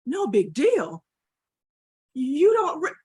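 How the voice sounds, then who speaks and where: A woman's voice: a little high, lively intonation, normal speed, husky. A woman storyteller voicing an emphatic, fair-minded male dog friend in an animal folktale. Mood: hurt, indignant.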